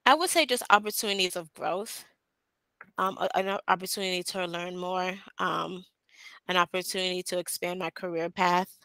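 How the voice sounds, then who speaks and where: A young woman speaks through a headset on a video call from a small room, answering interview questions with frequent "um" pauses. Her voice is bright and a little low, and the sound is dry and close. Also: clear, resonant tone, dry recording. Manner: hesitant, many "um" fillers. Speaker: job candidate.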